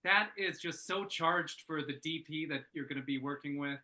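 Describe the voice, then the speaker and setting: A man working as a story editor, giving thoughtful spoken feedback in a panel discussion with tentative pauses. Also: on the high side, sharp tone.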